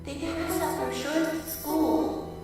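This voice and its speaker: A woman's voice: sits high, fairly even intonation. A woman playing an insistent young daughter who haunts her father in a radio drama, speaking plaintively in childlike words. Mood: eerie, accusing. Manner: slow, plaintive, childlike wording. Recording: long echoing reverb, distant, music behind.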